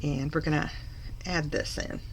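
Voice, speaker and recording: A woman demonstrating a papercraft project in a live tutorial, her voice a little hoarse from a lingering cough. She talks slowly and chattily as she works, correcting herself as she goes, with a fairly low voice and steady noise under the recording. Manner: chatty, self-correcting, talks while working. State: recovering from a cough, slightly hoarse.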